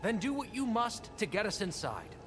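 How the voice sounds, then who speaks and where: An actor voicing an ambitious, commanding male military leader in a video game, talking in a grand, forceful way over game music. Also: high-pitched, very animated, moderate pace. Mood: grandiose.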